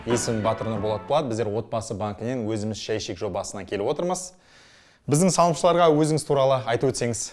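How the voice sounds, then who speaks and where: Fast-talking male presenter over music. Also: mid-range voice, darkish tone. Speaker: podcast host, interviewer. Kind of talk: upbeat presenting and interviewing.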